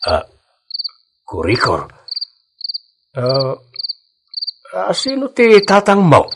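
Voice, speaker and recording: A man playing a lively, teasing older village father in an Ilocano radio drama, chatty and expressive, with an Ilocano accent. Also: on the high side, drawn-out words, background noise, heavily acted. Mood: jovial.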